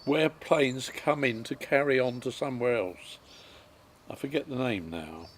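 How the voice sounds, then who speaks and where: A man, recalling his life story in a halting way with many pauses and ums. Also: mid-range voice, a little hiss or hum. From England. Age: 90s. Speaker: interviewee.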